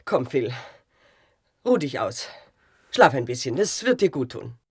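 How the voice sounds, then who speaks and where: A doting mother in a radio play. Her voice is husky and recorded very dry. She is tender and anxious, fussing over her grown son with hesitant care. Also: a bass voice, very animated, measured pace, a little mellow, no room sound, full character voice. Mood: gentle, saddened. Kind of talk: German radio drama acting.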